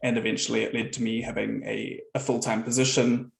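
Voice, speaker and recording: A man with a New Zealand accent giving a relaxed, conversational talk as guest speaker at an online meetup, on a video call. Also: mid-range voice, brisk, vocal fry, echoey room. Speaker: guest speaker, software founder.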